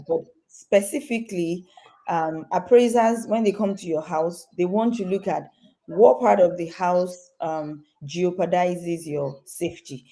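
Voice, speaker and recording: A woman with a lowish, mellow voice hosts an interview and turns to address her viewers directly. Her questions wander a little, with frequent "um" fillers. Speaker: real estate host. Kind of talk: interview hosting.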